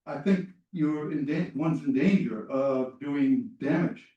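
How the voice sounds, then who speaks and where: A man's voice: on the high side, slow, mellow, muffled recording. A man in a seminar giving a thoughtful academic comment, with some hesitant fillers. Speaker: seminar participant. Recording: echoey room, far from mic.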